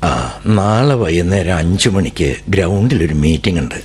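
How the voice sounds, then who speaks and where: A man's voice: low voice, very animated, normal speed, darkish tone, husky, heavily acted. An actor playing a tired older father who runs a newspaper, sighing and pressing his daughter. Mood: weary, pressing. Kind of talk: radio drama acting. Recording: vintage radio broadcast with music.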